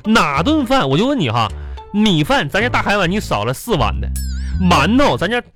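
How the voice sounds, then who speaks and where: A man's voice: high voice, sing-song, moderate pace, a bit bright. A man acting a wisecracking, exasperated husband in a comic radio drama, with a Northeastern Chinese accent and folksy, exaggerated griping. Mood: griping, teasing. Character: exasperated, wisecracking husband teasing his gluttonous wife. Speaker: radio show host and performer. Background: music bed.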